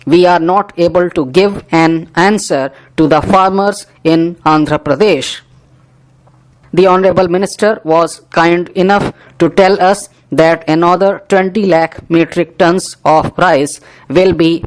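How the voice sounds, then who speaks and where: A reader, dictating a parliamentary speech slowly, phrase by phrase, for shorthand practice. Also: mid-range voice, rough recording. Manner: measured, deliberate phrase-by-phrase reading.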